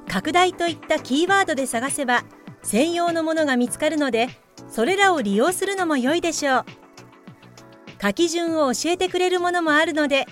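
A woman reading scripted explanatory narration in a polite, measured, instructive way. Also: sits high.

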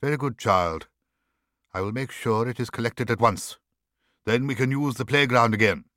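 A man's voice: medium pitch, normal speed, pushed and bright, no echo, clean studio sound, close to an everyday voice. A man playing a weary, dismissive headmaster in a children's audiobook, with clipped, fussy authority. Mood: relieved, weary. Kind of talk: children's audiobook character acting.

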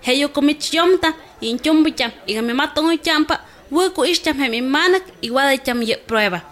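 High-pitched woman doctor, played by an actress. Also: moderate pace, fairly bright tone. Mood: reassuring, instructive. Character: woman doctor advising a new mother. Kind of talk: scripted health radio drama.

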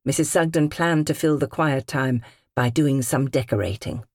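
A woman with a British accent reading literary fiction as an audiobook narrator, measured and carefully phrased. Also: extremely low pitch, a little mellow, no echo, clean studio sound. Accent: British English. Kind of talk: scripted literary narration in character.

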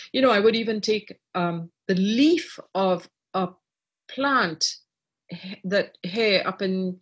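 A middle-aged woman from Trinidad, a writer and artist, talking reflectively in an interview with many pauses and restarts. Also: medium pitch, breathy edge. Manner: thoughtful, many fillers and restarts.

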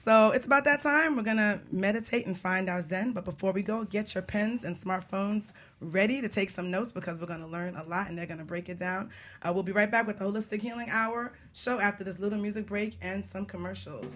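A woman's voice: mid-range voice, fairly quick, a bit bright. A woman hosting a radio talk show in a chatty, informal, upbeat way.

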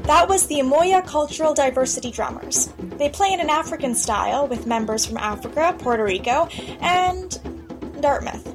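A woman reporter giving scripted radio narration with a wry, lightly humorous delivery. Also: sits high.

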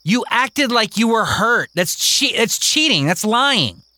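A man's voice: sits high, big pitch swings, takes it slowly, bright, forceful tone, no room sound, voice pushed up, heavily acted. A man voicing a hot-tempered young boy who whines and protests. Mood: whiny.

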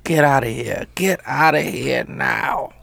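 Gravelly, wisecracking man. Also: mid-range voice, slow, fairly bright tone. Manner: wisecracking, teasing. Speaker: podcast co-host. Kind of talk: casual chat.

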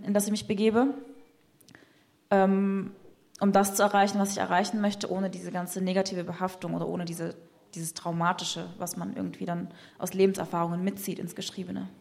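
Thoughtful woman speaking, mid-pitched. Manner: thoughtful, searching for words with fillers. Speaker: poet guest. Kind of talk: reflective conversation.

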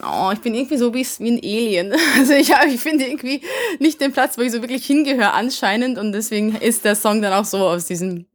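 A woman's voice: a little high, fast talker, hardly any pauses. A young woman raised in Vienna with Hungarian as her first language, speaking German with a Hungarian-Viennese accent. She is a band singer telling stories in a casual interview, with many fillers and easy laughs. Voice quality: chatty storyteller, many fillers, laughs easily.

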